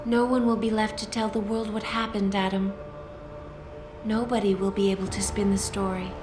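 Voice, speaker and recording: A woman playing a calm, poised artificial newsreader in a video game, speaking formally and deliberately. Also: medium pitch, background noise, natural voice. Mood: calm, grave. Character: calm, poised female AI construct, a news reporter. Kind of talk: video game voice acting, measured and portentous. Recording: roomy echo, loud music behind.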